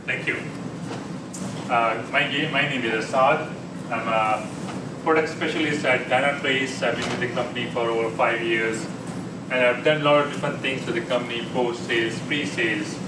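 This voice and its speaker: A man's voice: medium pitch, fairly even intonation, normal speed. A man giving a conversational tech presentation at a meetup, often restarting his sentences. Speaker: conference speaker. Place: meeting room. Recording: echoey room, distant mic, air conditioning hum.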